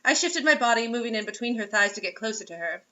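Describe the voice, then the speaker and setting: A woman's voice: on the high side, lively intonation, fast talker, bright, forceful tone, muffled recording. A woman reading a story aloud as host, in a mocking dramatic way, breaking off for sarcastic casual asides.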